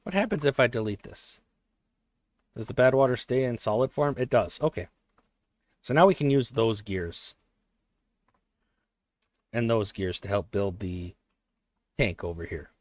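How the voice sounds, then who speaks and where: A man thinking aloud while he plays a strategy game, his voice a little hoarse, often correcting himself as he goes. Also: mid-range voice, expressive, measured pace, pauses often, darkish tone, no room sound. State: voice slightly hoarse. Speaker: let's play host. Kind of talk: casual gameplay commentary.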